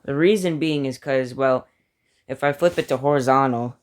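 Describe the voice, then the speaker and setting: A man with a clear, smooth voice at an ordinary middle pitch. He talks informally as a hobbyist video creator, giving his opinions in a casual, self-deprecating way. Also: normal speed. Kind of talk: casual spoken ranking commentary.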